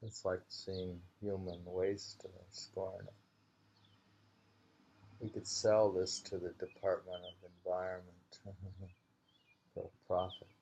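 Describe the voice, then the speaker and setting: A man with a low, creaky voice reads short poems and muses on them casually. His pace is slow and unhurried, and the sound is a dull, lo-fi home recording. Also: monotone, dark tone, a little hiss or hum. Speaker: reader of a haiku anthology. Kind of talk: slow poetry reading with casual commentary.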